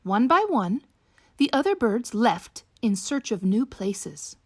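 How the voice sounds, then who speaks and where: A woman narrates a bedtime picture book for young children. Her voice is high and lively, swooping through the sentences at an unhurried pace, with a dry, close sound. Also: husky. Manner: slow, animated read-aloud delivery for young children. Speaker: teacher reading a picture book aloud to children. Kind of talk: expressive bedtime storybook narration.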